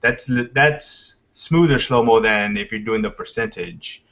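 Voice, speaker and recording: A man's voice: lowish voice, unhurried, fairly bright tone. A man in his forties from Texas, a guest on a casual video call, who explains technical steps plainly. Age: 40s. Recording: dull lo-fi video call audio.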